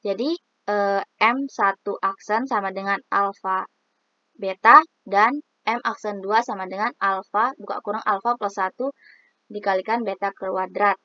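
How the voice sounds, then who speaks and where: A woman's voice: mid-range voice, fairly even intonation, measured pace, no echo, muffled recording. A woman explaining a statistics exercise, reading formulas aloud step by step with many hesitant fillers. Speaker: student presenting a statistics exercise. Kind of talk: explaining math on screen.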